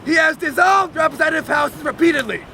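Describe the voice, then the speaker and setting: Guy reading out loud real dramatic, very high gravelly voice, people around him outside. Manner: projected, loud, emphatic delivery with deliberate phrasing. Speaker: reader at an outdoor public reading. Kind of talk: dramatic declamatory reading of a historical document. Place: outdoors on a lakeside beach. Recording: outdoor crowd and motorboat noise.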